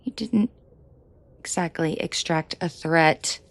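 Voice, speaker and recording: A woman playing a commanding, protective mafia boss in an intimate roleplay audio drama, shifting between cold authority and soft coaxing. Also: low voice, takes it slowly, gravelly, full character voice. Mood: furious, scornful. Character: commanding female mafia boss, furious yet protective and tender.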